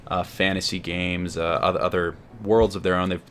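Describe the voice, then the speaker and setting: A man's voice: low-pitched, flat delivery, normal speed, slight background noise. A man giving wry, semi-scripted review commentary over video game footage, with a somewhat hesitant delivery.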